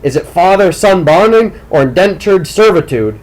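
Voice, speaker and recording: A man voicing a brilliant, manipulative teenage tennis star in an audiobook, speaking in a deliberate, probing and coolly insistent way. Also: high voice, lively intonation, low-quality recording. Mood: bitter, sardonic. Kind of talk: audiobook character dialogue.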